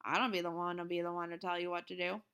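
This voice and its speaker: A woman voicing a quiet, deferring male agency boss in a storybook reading. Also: on the low side, level tone, fast, clear, resonant tone, pitched below the performer's own voice.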